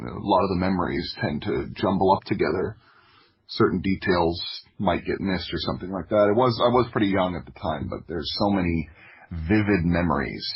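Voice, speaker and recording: A man with a deep and very husky voice shares personal stories casually. He gropes for words and thinks aloud as he talks. The recording is a little dull and lo-fi. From Florida, United States. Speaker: podcast guest, audio drama creator. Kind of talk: casual autobiographical storytelling. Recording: dull, lo-fi audio.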